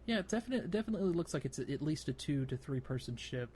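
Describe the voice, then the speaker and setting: A man hosting a casual gaming podcast, talking in a halting way as he thinks aloud. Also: a little high, animated, dark tone, a little hiss or hum. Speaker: podcast host.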